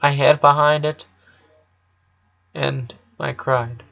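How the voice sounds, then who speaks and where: Grieving young girl played by a male reader, storybook drama, halting confession, dull lo-fi sound. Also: a little high, steady tone, creaky, fairly bright tone, heavily acted. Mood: halting, choked up. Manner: halting, repeating words as if choked up. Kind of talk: storybook character reading.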